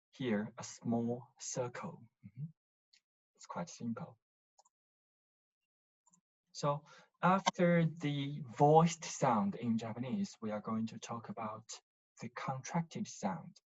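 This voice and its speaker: A language teacher gives a pronunciation lesson in a slow, measured way. The delivery is instructive, with syllables drilled one by one and short checking questions along the way. The audio is somewhat dull and lo-fi. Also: mid-range voice, husky.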